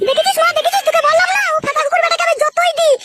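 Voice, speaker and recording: A woman voicing a furious, sharp-tongued young village wife in a comic cartoon, shrill and cursing, with a rural West Bengal accent. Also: steady tone, racing, heavy background noise. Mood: complaining.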